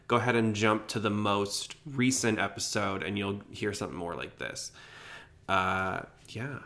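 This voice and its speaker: A man's voice: fairly low pitch, fairly bright tone. A man hosting a casual podcast, talking in an informal, rambling way. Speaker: podcast host.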